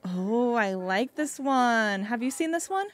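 A woman's voice: on the high side, animated, unhurried. A young woman playing a sociable, insistent friend in an amateur audio drama. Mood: coaxing.

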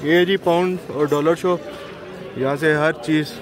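A man's voice: on the high side, steady tone, unhurried. A man, walking outdoors and chatting casually as he points out shops and food. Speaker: travel vlogger. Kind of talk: casual walking tour vlog. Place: busy hill-town street outdoors. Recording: crowd noise around the speaker. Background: crowd of passers-by.

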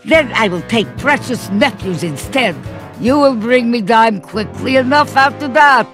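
An actress playing a scheming, gloating duck sorceress in a cartoon game, theatrical, with a put-on Eastern European accent. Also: female voice, on the high side, lively intonation, unhurried, over music. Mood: menacing, sly. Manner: theatrical, cackling villain delivery.

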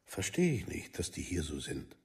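Very raspy, animated village man. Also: low voice, moderate pace, heavily acted. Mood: puzzled. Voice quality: raspy, gravelly. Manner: colloquial, questioning tags. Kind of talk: documentary radio play monologue.

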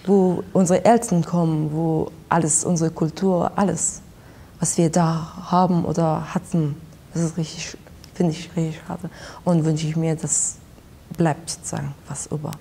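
A young woman from Iran, a guest in a studio interview, speaking haltingly and searching for her words. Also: sits low, flat delivery, creaky, mellow, slight background noise. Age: young adult. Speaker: guest, activist. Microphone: lapel.

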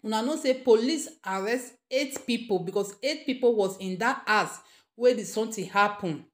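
A woman's voice: a little high, moderate pace. A woman with a Nigerian accent giving informal gossip commentary, addressing her listeners directly and emphatically. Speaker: gossip vlogger. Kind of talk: informal news commentary in Pidgin. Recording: roomy, echoing room sound.

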